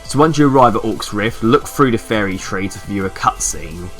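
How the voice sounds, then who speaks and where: A man's voice: on the low side, lively intonation, moderate pace, a bit bright. A man giving an informal, step-by-step instructional commentary as a game guide, addressing the listener directly. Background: background music.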